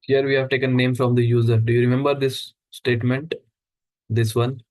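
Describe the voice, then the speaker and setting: A man's voice: medium pitch, level tone, fairly quick, darkish tone. A man teaching a live coding lesson who walks through each step and asks the class questions as he goes. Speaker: instructor.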